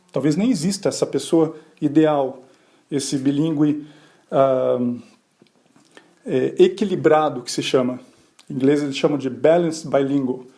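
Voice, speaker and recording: Male podcast host from Brazil, slightly high, warm mellow voice, chatty explainer. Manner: conversational, rhetorical questions, frequent "né?" tags; brief voiced asides acting out other people. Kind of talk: explanatory solo podcast talk.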